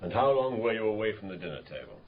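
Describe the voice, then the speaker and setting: A dogged police inspector in a radio drama, low in pitch and blunt. He questions briskly with a sardonic edge. The recording is slightly muffled. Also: big pitch swings. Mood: brisk questioning. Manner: brisk, matter-of-fact questioning.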